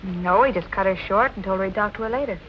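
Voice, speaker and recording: An actress playing a stubborn, determined young amateur sleuth, brisk and self-possessed, in 1940s film noir style. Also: female voice, medium pitch, lo-fi sound, close to an everyday voice. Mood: intent, probing. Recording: noisy old film soundtrack.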